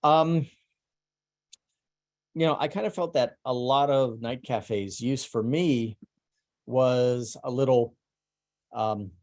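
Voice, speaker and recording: A middle-aged man presenting his artwork at an online salon, speaking haltingly with many ums and restarts. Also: medium pitch, a little mellow. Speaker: presenting artist. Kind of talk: online salon artist talk.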